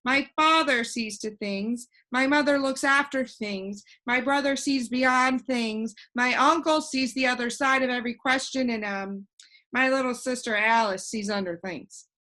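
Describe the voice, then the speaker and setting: A woman's voice: a little high, a little slow, pushed and bright, pitched above the performer's own voice. A woman voicing a cheerful, know-it-all young boy in a storybook, proudly explaining things. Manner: proud, lecturing. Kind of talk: animated children's storybook reading.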